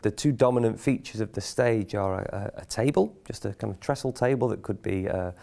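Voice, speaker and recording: Male theatre-maker, lowish gravelly voice, measured and reflective interview delivery. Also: warm tone. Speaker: theatre company member. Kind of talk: explanatory interview talk.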